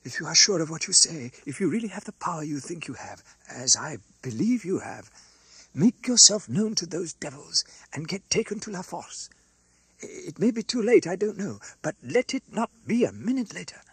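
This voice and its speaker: A man voicing an alarmed, honest older gentleman banker in an audiobook, breathless and urgent. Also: on the high side, lively intonation, brisk, few pauses, breathy edge, no echo, full character voice. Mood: urgent, fearful. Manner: breathless, urgent exclamations and questions.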